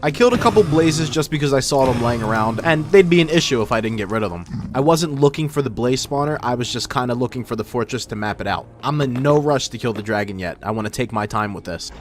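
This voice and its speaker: A man's voice: medium pitch, animated, fast talker, hardly any pauses, slight background noise. A man narrating gameplay in a casual, informal way with wry asides, his voice run through a voice filter. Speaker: gaming video host. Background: background music.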